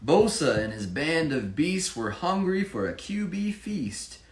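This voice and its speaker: A man reading his own children's picture book with expressive emphasis, pausing to explain it casually to a child. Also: on the high side. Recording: echoey room with faint background noise.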